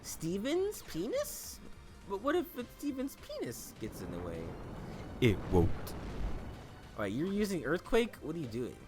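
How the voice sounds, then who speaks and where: A man giving joking gameplay commentary, animated and peppered with "like". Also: sits high, a little slow, music in the background. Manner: animated, joking, heavy use of "like". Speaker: let's play host.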